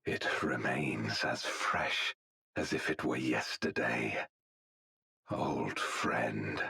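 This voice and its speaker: Gravelly, abyssal-deep brooding villain. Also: male voice, sharp tone, full character voice. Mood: mournful. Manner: slow, solemn, portentous. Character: brooding, long-suffering male fantasy villain, grieving. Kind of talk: dramatic video game acting.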